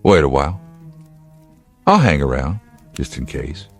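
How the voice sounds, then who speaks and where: A really deep voiced state trooper, laconic n wry, protective type, radio drama w faint music behind. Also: male voice, big pitch swings, slightly rough, exaggerated voice. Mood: wry, reassuring. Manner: measured, laconic, dryly wry. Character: gruff, steady male state trooper, wryly humorous, protective. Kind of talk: naturalistic radio drama acting.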